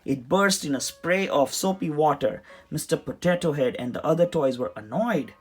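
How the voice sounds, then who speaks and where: A man reading a children's story aloud in an expressive, dramatic storytelling manner. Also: on the high side, normal speed, slightly rough. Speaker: storybook reader. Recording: music behind the voice. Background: music.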